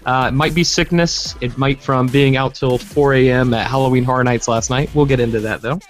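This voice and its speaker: A man hosting a podcast in casual chat, his voice hoarse, upbeat and joking. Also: mid-range voice, fairly even intonation, fairly quick, soft background music. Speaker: podcast host.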